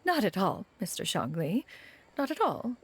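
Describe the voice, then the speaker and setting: A woman's voice: medium pitch, big pitch swings, moderate pace, husky. A woman voicing a proud, formal immortal master in a video game, with stately, archaic, haughty speech. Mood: flattering, formal.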